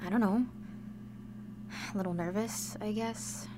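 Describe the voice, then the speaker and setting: A woman's voice: medium pitch, steady tone, unhurried, background noise, close to an everyday voice. A woman playing a frustrated, self-doubting teenage girl mechanic in a radio drama, sardonic and hesitant. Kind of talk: naturalistic radio drama acting.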